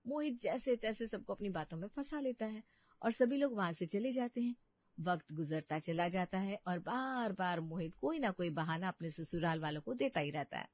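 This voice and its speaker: A woman's voice: medium pitch, dry recording. Female story narrator, scripted read, close dry booth sound, slightly muffled.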